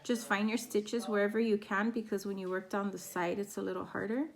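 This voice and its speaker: A woman teaching a crochet pattern step by step, her voice raspy from a sore throat as she counts stitches aloud. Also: medium pitch, flat delivery, a little fast. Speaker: crochet instructor. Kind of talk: step-by-step craft tutorial.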